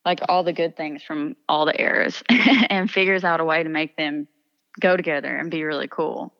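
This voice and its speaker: A woman's voice: low voice, fairly even intonation, moderate pace. A young woman from Kentucky with a Kentucky accent, a singer-songwriter chatting easily in an interview and laughing often. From Kentucky, USA. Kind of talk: casual interview chat. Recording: dull lo-fi audio.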